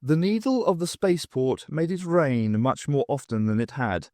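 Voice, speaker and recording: A man reading a novel aloud as scripted narration, with an expressive storytelling delivery. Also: medium pitch, normal speed, no echo, studio quality. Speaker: audiobook narrator.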